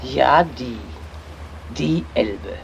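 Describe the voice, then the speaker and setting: Gravelly, scornful river spirit. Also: female voice, very deep voice, expressive, drawn-out words, dull audio, slight background noise, exaggerated voice. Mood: mocking. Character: the river Elbe personified, gruff mocking old woman. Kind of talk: radio play acting.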